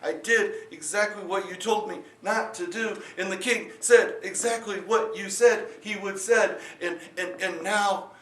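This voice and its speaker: A man voicing a timid peasant farmer who speaks softly and stammers nervously, recorded in a roomy space from a distance. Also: on the high side, measured pace, slight background noise. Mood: panicked. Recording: roomy echo, distant mic.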